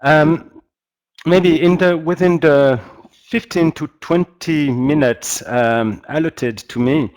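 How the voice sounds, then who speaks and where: A man's voice: a little high, slow pace, darkish tone. Frenchman presenting, hesitant delivery. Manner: hesitant, searching for words, polite. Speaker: guest expert, humanitarian practitioner. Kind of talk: webinar presentation.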